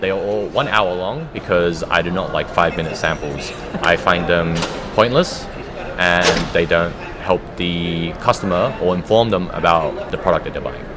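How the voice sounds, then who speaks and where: A man's voice: sits low, moderate pace, crowd noise. A man speaking conversationally as a guest producer in an interview, recorded live at a convention. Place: live convention, busy surroundings.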